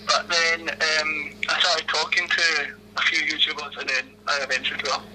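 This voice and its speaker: A young Glaswegian man in his twenties talks over the phone, his voice very bright and a little high for a man. He answers with lots of ums, false starts and small laughs. A faint hiss sits under the line. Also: little pitch movement, moderate pace, low-quality recording. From Scotland. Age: 20s. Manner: hesitant, many ums and restarts, laughs often. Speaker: interviewee. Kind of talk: casual phone interview. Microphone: phone call.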